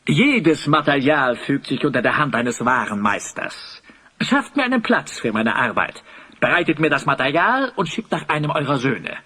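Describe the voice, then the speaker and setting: A man playing a vain, scheming master sculptor in a fantasy audio drama, speaking with flattering, theatrical grandeur. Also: fairly high pitch, normal speed, slightly rough, heavily acted. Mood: courtly, flattering.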